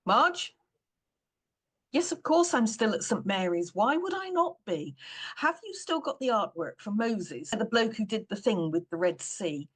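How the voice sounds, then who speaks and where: A woman's voice: on the high side, very animated, measured pace, husky, pitched above the performer's own voice, full character voice. A woman voicing a brash, impatient male film producer blustering dismissively down the phone in a comic story.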